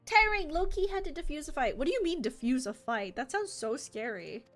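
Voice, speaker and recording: A woman's voice: high-pitched, lively intonation, brisk, a bit bright. A woman live-streaming a game, chatting casually with viewers in a giggly, chatty way. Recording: game music playing behind.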